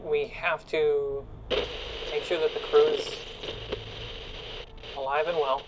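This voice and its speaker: A woman's voice: low voice, flat delivery, slow pace, background noise. A woman playing a casual, weary spaceship commander in a tabletop game, matter-of-fact and informal. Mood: firm. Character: casual, weary female crew commander on the ship radio. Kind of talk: tabletop roleplay.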